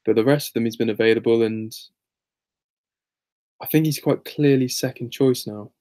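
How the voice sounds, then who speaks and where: A man's voice: mid-range voice, moderate pace, mellow. A man talking casually as a podcast co-host, weighing up fantasy football choices in a matter-of-fact, analytical way.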